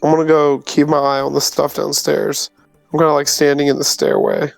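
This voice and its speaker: A man's voice: a little high, flat delivery, a little fast, gravelly, no room sound. A brash, sweary man playing a tabletop roleplaying game, casually saying what his character does. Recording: music behind the voice.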